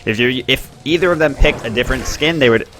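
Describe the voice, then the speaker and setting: A man hosting and commenting on a game tournament in a chatty, excitable way. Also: quick pace, soft background music. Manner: chatty, excitable, bursts up in pitch at plays. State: expects to lose his voice.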